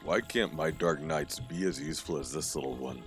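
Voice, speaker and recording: A man playing a cold, commanding general of dark knights in an audio fantasy drama. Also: extremely low pitch, moderate pace, music in the background. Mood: scornful.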